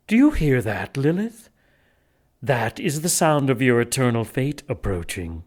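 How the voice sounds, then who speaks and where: An actor playing a menacing, pompous leader of a religious order in an audio drama, speaking formally and gloatingly. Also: male voice, mid-range voice, very animated, unhurried, a little mellow. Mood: cold, gloating.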